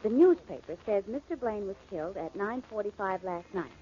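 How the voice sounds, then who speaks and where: A woman's voice: mid-range voice, animated, brisk, mellow, husky. An actress playing a composed, determined young woman in a 1940s radio drama, firm and pointed. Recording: muffled 1940s radio recording.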